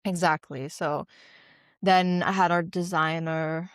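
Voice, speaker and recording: A woman co-hosting a podcast in relaxed, chatty talk, explaining at length with many fillers. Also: low voice, slow pace, clean tone, no room sound, studio quality. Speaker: podcast co-host. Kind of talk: casual chat.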